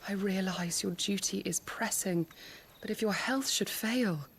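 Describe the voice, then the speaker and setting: An actress playing a devoted, respectful attendant, speaking formally and with gentle concern in a video game cutscene. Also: female voice, mid-range voice, a little slow, a little hiss or hum, lightly acted. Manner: formal, courteous.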